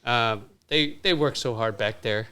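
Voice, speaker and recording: A man's voice: mid-range voice, measured pace, sharp tone, clean tone. A man in his fifties from Vietnam, a guest on a casual podcast, talking earnestly and often saying "you know.". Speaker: podcast guest, dentist and restaurant owner.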